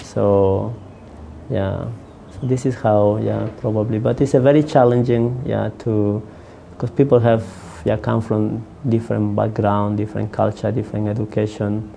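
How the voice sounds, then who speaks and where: Gravelly-voiced counselor, English not his first language. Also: fairly low pitch, little pitch movement, warm tone, a little hiss or hum. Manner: halting, many restarts and fillers. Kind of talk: interview answers.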